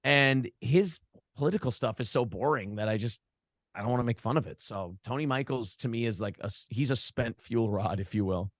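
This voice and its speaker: A man's voice: mid-range voice, a little fast, no echo, studio quality. A man being interviewed in a casual conversation, telling stories in a joking, profane way. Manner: animated storytelling, profane, joking. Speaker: interview guest, broadcaster.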